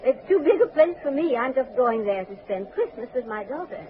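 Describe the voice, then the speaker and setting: An actress playing a trusting, flustered old widow with a hesitant, folksy, polite manner in 1940s radio acting. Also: female voice, high voice, very animated, brisk, crowd noise. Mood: chatty, eager. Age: older. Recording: noisy, tinny 1940s radio recording.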